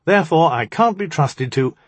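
Man voicing a sardonic, husky lioness jinni. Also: fairly high pitch, lively intonation, normal speed, no echo, voice pushed up. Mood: indignant. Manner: sarcastic, colloquial, self-justifying. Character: sardonic, defiant jinni in warrior lioness form. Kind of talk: audiobook character dialogue.

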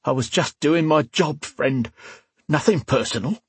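A man voicing a gruff, crude hired thug in an audiobook, pained and growling through clenched teeth. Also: a little high, lively intonation, unhurried, no room sound, pitched above the performer's own voice, full character voice. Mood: nervous, grudging. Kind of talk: dramatic audiobook character voice.

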